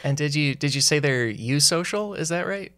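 A man's voice: fairly high pitch, animated, moderate pace, fairly bright tone. A man co-hosting a casual podcast chat, asking curious questions and making wry asides. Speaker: co-host.

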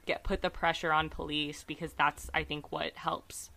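Bright, slightly raspy woman podcast host. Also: deep voice, level tone, normal speed. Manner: chatty, many fillers, earnest about cases. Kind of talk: casual true crime podcast chat.

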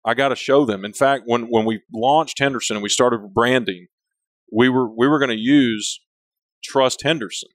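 A man's voice: mid-range voice, moderate pace, no room sound. A man with a big voice and big personality, talking candidly in a casual interview, rambling and often restarting his sentences. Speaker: company leader, interview guest.

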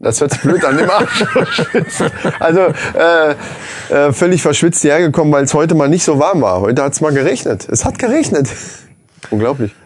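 A man with a slightly high voice talks loosely on a podcast, cracking crude jokes. His chat rambles along with plenty of fillers and banter. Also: lively intonation, normal speed. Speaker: podcast co-host. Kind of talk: casual chat. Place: small room, seated across a table. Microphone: handheld audio recorder on a table.

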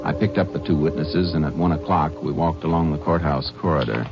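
A steady, commanding Texas Ranger who also narrates. His voice sits low and firm. The old radio sound is muffled, with music underneath. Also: brisk. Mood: calm. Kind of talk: 1950s radio drama narration and acting.

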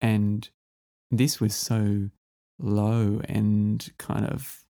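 A low, gravelly man hosting a relaxed music interview. His voice is mellow and dark, and he rambles enthusiastically, full of ums and restarts. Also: extremely slow. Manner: rambling, enthusiastic, many fillers. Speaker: podcast host.

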